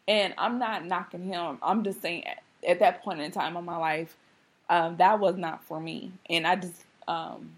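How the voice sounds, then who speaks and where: A woman in her thirties hosting a podcast, talking candidly and casually with a slightly hoarse voice. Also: on the low side. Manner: candid, conversational, many fillers. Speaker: podcast host. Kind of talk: casual monologue.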